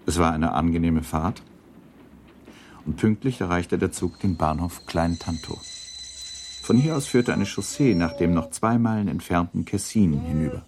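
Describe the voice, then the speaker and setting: Low-pitched male radio play narrator. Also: normal speed, darkish tone, slight background noise.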